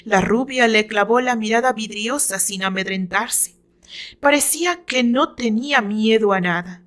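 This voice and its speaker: Slow, high-voiced Mexican woman narrator. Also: fairly bright tone. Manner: deliberate, theatrical. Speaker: author reading own novel. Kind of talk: dramatic scripted story narration.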